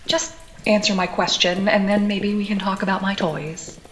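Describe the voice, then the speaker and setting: An actress plays a tough, inquisitive woman in a cyberpunk radio play, with a medium-pitched voice. She is sardonic and playfully probing, heard in a very echoey space with faint noise around her. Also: steady tone, normal speed, fairly bright tone, close to an everyday voice. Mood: wry, probing. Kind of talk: naturalistic audio drama acting.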